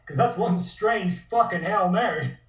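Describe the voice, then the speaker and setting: A man's voice: high voice, lively intonation, measured pace, fairly bright tone. A man playing a cocky, foul-mouthed tough gunman in an audio table read. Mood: sardonic, cocky. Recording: echoey, distant room sound.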